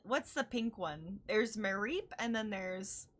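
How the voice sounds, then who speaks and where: Woman, Chicago accent, interview host, bubbly, giggly, very animated pitch. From Chicago, US Midwest. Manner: bubbly and giggly, quick to laugh. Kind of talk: casual online interview chat.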